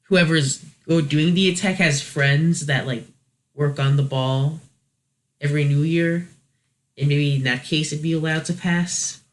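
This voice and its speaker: A man's voice: fairly high pitch, fairly even intonation, measured pace. A man hosting a review video with casual, unscripted commentary, hesitant and thinking aloud as he corrects himself. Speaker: host of a video review series.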